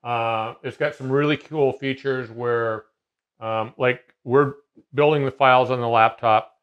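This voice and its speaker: A man's voice: mid-range voice, steady tone, a little slow, smooth, muffled recording. A man in his fifties explaining a CNC machine in casual conversation, talking in a loose, rambling way with many fillers and restarts, seated in a large garage workshop.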